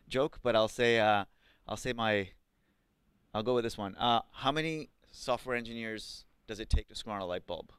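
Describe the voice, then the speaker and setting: Smooth-voiced man lecturing a class. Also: lowish voice, a bit bright, no echo. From Pakistan. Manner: conversational, many ums and likes, asks the class questions. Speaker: coding bootcamp instructor.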